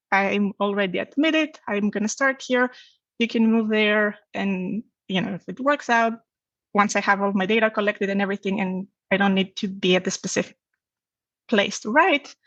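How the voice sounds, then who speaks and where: A woman's voice: mid-range voice, fairly bright tone, dry recording, lo-fi sound. A woman from Greece with a Greek accent, telling her own story conversationally as an interview guest. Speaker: podcast guest, academic researcher.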